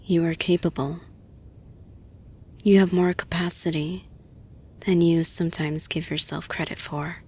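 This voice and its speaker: Woman acting slow, breathy, caring lady boss. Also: lowish voice, steady tone, warm tone. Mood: soothing, encouraging. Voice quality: breathy, husky. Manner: slow, deliberate, reassuring. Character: caring, composed female boss gently offering support. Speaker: audio roleplay performer. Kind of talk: intimate roleplay, soft-spoken and measured.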